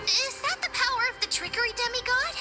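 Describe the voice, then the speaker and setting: A woman's voice: very high voice, expressive, fast talker. A woman voicing a small, childlike, wide-eyed companion creature in a video game, full of curiosity. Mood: curious, amazed. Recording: music under the voice.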